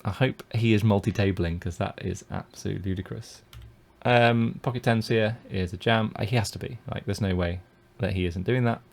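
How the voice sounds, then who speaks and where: Man from the UK, low gravelly voice, poker stream commentary, thinking out loud, fillers and restarts. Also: fairly even intonation, brisk. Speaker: poker coach streaming his play.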